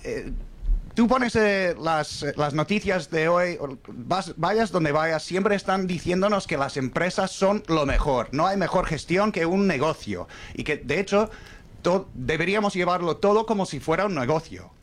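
A man's voice: sits high, animated, a little slow. Wry foreign man doing stand-up. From English-speaking country. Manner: wry, self-mocking storytelling with punchlines. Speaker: stand-up comedian. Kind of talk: stand-up comedy set. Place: comedy club stage with a laughing audience.

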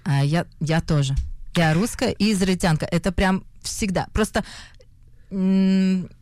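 A young woman from Russia with a Russian accent, an actress giving an interview, often pausing and restarting as she searches for words. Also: fairly low pitch, darkish tone, slight background noise. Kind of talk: hesitant conversational interview.

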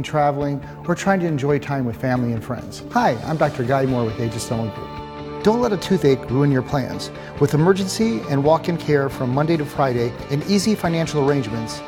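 A man who is a dentist reads his clinic's ad in a calm, reassuring way. Music plays clearly beneath him. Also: mid-range voice. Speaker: dentist in own ad. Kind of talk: scripted ad read.

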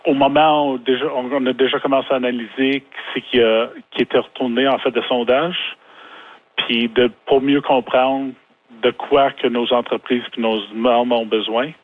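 A man from Canada, a business owner, giving a phone interview in a halting way, restarting words and filling pauses. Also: medium pitch, little pitch movement. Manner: halting, many restarts and fillers. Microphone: telephone. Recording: tinny phone line.

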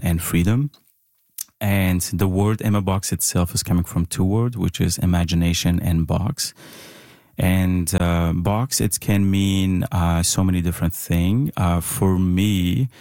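Deep-voiced Syrian man, halting speech. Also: mellow, no echo, clean studio sound. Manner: hesitant, halting, searching for words. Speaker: visual artist, interview guest. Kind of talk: conversational interview answers.

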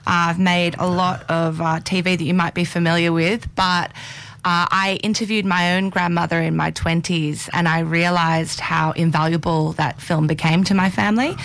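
Brief: middle-aged woman, lowish voice, measured storyteller in interview. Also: fairly even intonation, gravelly, fairly bright tone. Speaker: documentary filmmaker guest. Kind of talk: interview conversation.

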